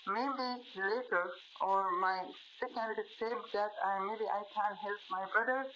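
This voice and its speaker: A young woman with a Palestinian Arabic accent speaks in careful, halting sentences and often stops to find the next word. Her voice sits at an ordinary pitch. The sound is a little dull, with faint noise in the background. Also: fairly even intonation, bright, forceful tone. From Gaza, Palestine. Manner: hesitant, searching for words with many fillers. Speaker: journalist guest. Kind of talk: interview answers.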